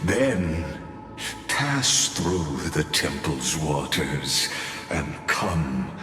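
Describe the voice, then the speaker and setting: Very deep, sinister game villain. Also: male voice, very animated, exaggerated voice. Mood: cool, musing. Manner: theatrical, deliberate, disdainful. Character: sinister, mocking male overseer watching doomed heroes. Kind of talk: video game dramatic monologue. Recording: echoey reverberant hall, music behind.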